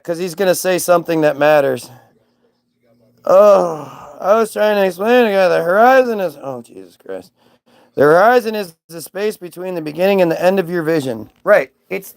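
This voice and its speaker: A man's voice: high voice, moderate pace, vocal fry, no echo. A man hosting a livestream, arguing heatedly with viewers in a mocking, combative way, swearing and restarting his sentences.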